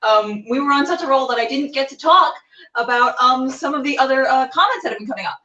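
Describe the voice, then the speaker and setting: High-voiced, theatrical woman hosting. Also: lively intonation, fast, bright, forceful tone, distant mic, dull audio. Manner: bubbly, theatrical, self-mocking. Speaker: web show host. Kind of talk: livestream hosting, casual chatty announcements. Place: indoor room with a door. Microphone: camera on a tripod, a little distant.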